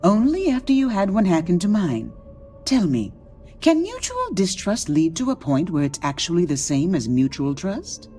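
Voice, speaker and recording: Arch, swooping corporate wife. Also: female voice, fairly low pitch, big pitch swings, moderate pace, mellow, faint music behind. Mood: arch, sly. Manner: gushing, arch, theatrical. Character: charming, teasing, cunning corporate executive wife. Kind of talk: video game voice acting.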